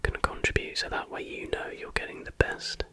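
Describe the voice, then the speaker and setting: An ASMR host speaking entirely in a breathy whisper, chatty and informal. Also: brisk, bright, forceful tone. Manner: chatty, informal, joking asides.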